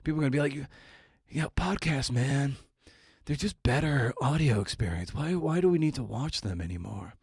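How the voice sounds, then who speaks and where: A man's voice: mid-range voice, expressive, moderate pace, darkish tone, breathy edge, dry recording. A man in his forties hosting a casual solo podcast, rambling in a wry, self-deprecating way into a close microphone. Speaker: podcast host.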